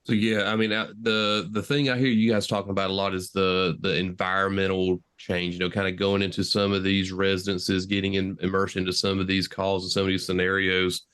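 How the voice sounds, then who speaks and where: A man's voice: deep voice, monotone, brisk, few pauses, a bit bright, clear, resonant tone. A younger man, co-host and EMS instructor, in reflective casual chat with many fillers.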